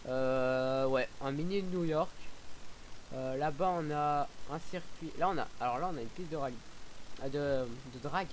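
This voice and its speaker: Young male gaming vlogger, slightly high voice, hesitant and rambling, game engine noise and faint hiss behind him. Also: a little slow. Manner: rambling, hesitant, many fillers and restarts; excitable whoops while driving. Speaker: gaming YouTuber. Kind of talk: casual gameplay commentary.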